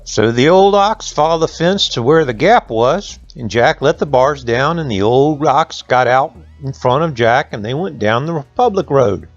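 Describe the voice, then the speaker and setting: A man's voice: mid-range voice, animated, moderate pace, few pauses, no echo, muffled recording, soft background music. A man telling a folksy tale to children in colloquial dialect. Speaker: storyteller. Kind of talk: folksy oral storytelling for children.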